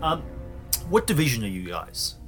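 A man playing an earnest, rule-bound Scouting enthusiast with a husky voice, pedantic and flusteredly polite, in a tabletop game. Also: medium pitch, sing-song, normal speed, music in the background, close to an everyday voice.

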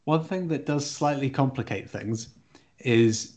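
Male tech podcast co-host, middle pitch, careful conversational explainer. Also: a little slow, darkish tone.

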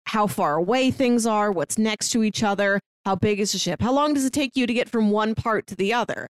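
A woman's voice: medium pitch, a little fast, clear, resonant tone, dry recording. Casual female podcast co-host, dry close sound. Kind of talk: casual book discussion.

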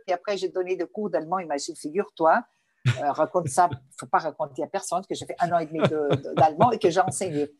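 A woman's voice: on the low side, a little mellow, no echo. Woman. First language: Portuguese. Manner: searching for words, many restarts. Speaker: guest. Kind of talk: interview answers.

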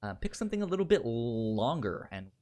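A man chatting casually as a podcast co-host, stuttering on word onsets and often repeating words and syllables in a halting way. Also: medium pitch, big pitch swings, warm tone.

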